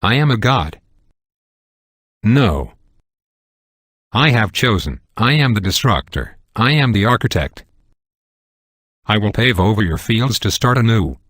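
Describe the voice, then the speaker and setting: An actor voicing a grandiose, menacing destroyer god in a video game, pompous and declamatory. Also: male voice, on the low side, sing-song, measured pace, long pauses, fairly bright tone, no echo, studio quality, exaggerated voice. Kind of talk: video game villain acting.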